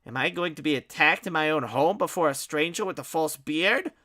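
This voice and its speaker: Man acting pompous fussy man, high indignant voice, formal haughty diction. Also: a little fast, pushed and bright.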